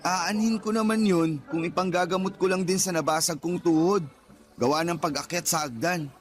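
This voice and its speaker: Guy playing lazy filipino boyfriend, high voice, flippant and defensive, radio drama w/ soft music. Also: steady tone, normal speed, lightly acted. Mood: stubborn, flippant. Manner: whiny, flippant excuse-making. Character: defensive, teasing young boyfriend, jobless and lazy. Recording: background music under the voice.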